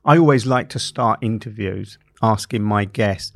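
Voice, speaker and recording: A man's voice: on the low side, a little slow, no room sound. A man hosting an interview, asking thoughtful questions and often repeating his words as he searches for them. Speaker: interview host. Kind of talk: conversational interview.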